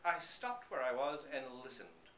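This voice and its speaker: A man reads a story aloud with a very animated, bright delivery. It is a live indoor reading with room echo and a faint layer of noise. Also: medium pitch, measured pace. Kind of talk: expressive first-person story reading. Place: indoor public reading in a bookstore. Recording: roomy live recording, slight background noise.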